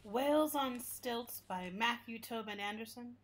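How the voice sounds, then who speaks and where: Woman voicing a slow-spoken, fussy library building. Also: a little high, a little hiss or hum, lightly acted. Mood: serene, candid. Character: talking public library building, gentle but fussy, adores silence. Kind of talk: radio play acting in interview form.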